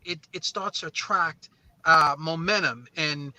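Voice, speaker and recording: A man with a slightly high, very sharp and forceful voice, speaking as a guest in an interview. He tells stories conversationally and earnestly, leaning on repeated phrases to motivate. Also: a little slow, rough recording. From born in St. Croix, raised in New Orleans. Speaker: guest, recording artist and label co-founder.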